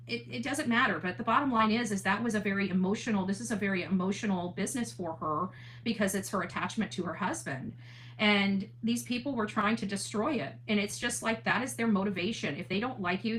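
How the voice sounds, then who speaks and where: A woman with a mid-pitched voice speaks as an online host in a casual livestream monologue. She is earnest and emphatic, often restating a point for weight. A faint noise and hum sit behind her voice. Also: a bit bright, poor audio. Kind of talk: livestream talk, casual monologue with replies to chat.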